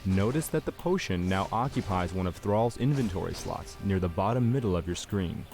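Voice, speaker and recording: An actor playing a formal game tutorial guide, giving measured, scripted instructions. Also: male voice, low-pitched, animated, darkish tone, faint music behind. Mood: instructive. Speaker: tutorial narrator.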